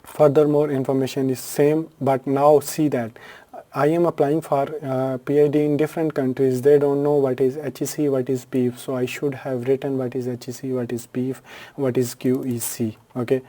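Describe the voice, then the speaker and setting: Male tutorial host, Urdu first language, deep mellow timbre, unscripted and filler-heavy. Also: little pitch movement, normal speed. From Pakistan. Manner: unscripted, frequent fillers and self-corrections. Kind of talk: screen-share tutorial walkthrough.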